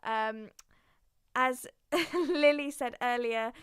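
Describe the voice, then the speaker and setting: A young woman hosting a casual student radio show, chatting loosely with plenty of fillers and a relaxed, easygoing manner. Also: a little high, very slow, clear, resonant tone, dry recording, studio quality. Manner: chatty, filler-heavy, laughs through mishaps.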